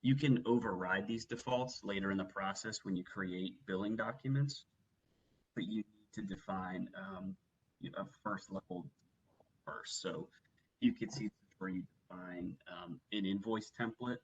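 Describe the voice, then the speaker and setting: A man working methodically through a software walkthrough as a webinar trainer, explaining each step. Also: lowish voice, measured pace, dull audio, a little hiss or hum. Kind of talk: instructional software walkthrough, semi-scripted presentation.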